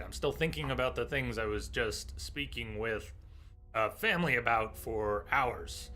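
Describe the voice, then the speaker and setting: A hoarse man with a sore, scratchy throat, chatting casually through a live game stream and clearing his throat often. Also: medium pitch, sharp tone. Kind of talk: casual live gameplay commentary.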